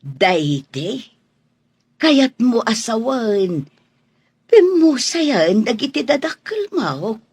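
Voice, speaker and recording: A woman playing a kindly older household woman in a radio drama, husky and motherly. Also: on the high side, very animated, darkish tone, no echo, heavily acted. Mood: warm, curious. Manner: motherly, unhurried.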